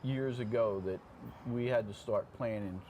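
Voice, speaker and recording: A man's voice: lowish voice, unhurried, dark tone. An older man, a veteran fire officer, chatting casually as an interview guest in a plainspoken way, often checking in with the listener. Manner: plainspoken, checks in with "okay?" and "you know". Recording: clear background room noise.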